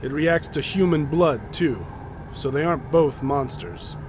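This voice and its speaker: An actor in a radio drama playing a practical, wry scientist who has taken command, reasoning things out decisively. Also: male voice, fairly high pitch, expressive, unhurried, noisy background. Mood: measured. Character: level-headed male scientist taking command, wry and practical.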